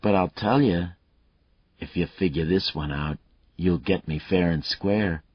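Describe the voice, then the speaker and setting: A man's voice: sits low, unhurried, husky, no room sound, lo-fi sound. A male narrator voicing a taunting, unhinged fugitive killer in an audiobook, with a crude, swaggering manner. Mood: mocking.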